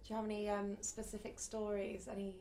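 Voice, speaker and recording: A woman interviewer asking gentle, slightly hesitant questions. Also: mid-range voice, monotone, fairly quick, creaky, a little hiss or hum.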